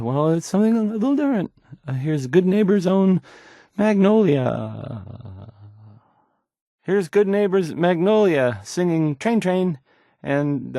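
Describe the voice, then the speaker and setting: Jittery young radio dj for a game, stutters n apologizes, voice jumps all over, super dry sound. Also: male voice, a little high, mellow, no room sound. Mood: flustered. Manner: nervous, stammering, hesitant, apologetic. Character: young, timid, awkward, self-doubting male radio DJ. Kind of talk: post-apocalyptic video game voice acting.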